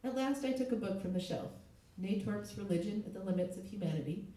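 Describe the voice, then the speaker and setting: A middle-aged woman, a literary translator, reading aloud at a live event, her voice hoarse with a cold. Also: medium pitch, expressive, fast, warm tone. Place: echoey indoor event space. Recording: very echoey room, distant mic.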